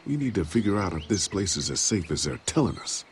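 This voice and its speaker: An actor playing a level-headed, wary American survivor in a video game, speaking in a measured, questioning way. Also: male voice, low voice, very animated, a little hiss or hum. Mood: wary, planning. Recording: game soundtrack, music and birds behind.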